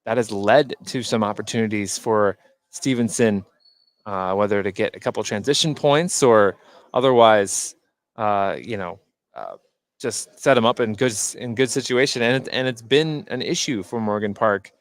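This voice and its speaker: A man working as a radio color commentator, explaining basketball plays in a halting, conversational, unscripted way. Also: lowish voice. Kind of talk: unscripted radio sports analysis.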